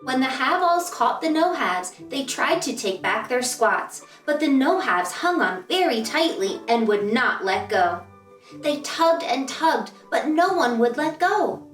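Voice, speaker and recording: A woman reading aloud to children as a story-time host, with an expressive, deliberate storytelling delivery. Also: high-pitched. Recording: roomy echo, distant mic, music behind.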